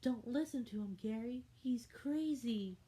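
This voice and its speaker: A woman reading aloud and voicing a bossy female fairy who hosts a kids' TV show, in a shrill, exasperated, scolding manner. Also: unhurried, warm tone, close to an everyday voice. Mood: protesting.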